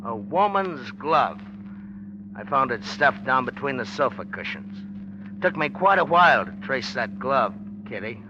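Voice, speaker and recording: A smug, slangy newspaperman out of an old radio crime play, needling in hard-boiled style. The voice is medium-pitched, on a dull, noisy vintage recording. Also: very animated, a little slow. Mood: smug, pressing. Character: cocky, needling newspaperman, smug tough-talking man. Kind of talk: 1940s radio acting.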